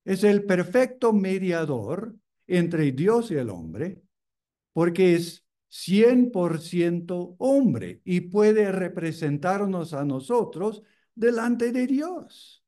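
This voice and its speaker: A male preacher, a native English speaker, delivers a sermon with measured, unhurried phrasing. His voice sits a little high for a man but sounds mellow and soft-edged. He repeats key phrases as he builds each point. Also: very animated.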